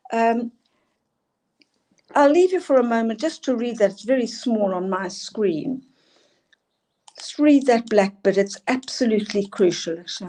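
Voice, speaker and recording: Older South African woman, emphatic presenter, warm low-brightness tone. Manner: earnest, emphatic, asks for next slides. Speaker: school leadership speaker. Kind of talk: presenting slides in a talk.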